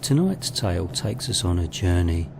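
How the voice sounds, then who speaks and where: A man presenting a horror audio drama as narrator, in an ominous, deliberate, theatrical style. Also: low-pitched, big pitch swings, creaky, noisy background.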